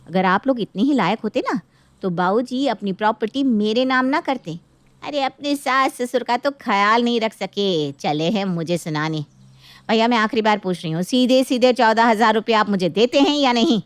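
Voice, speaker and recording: A woman's voice: on the high side, normal speed, dry recording, natural voice. A woman voicing a greedy, haughty grown daughter in a moral story, scolding and threatening imperiously. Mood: scornful. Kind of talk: dramatic moral-story acting.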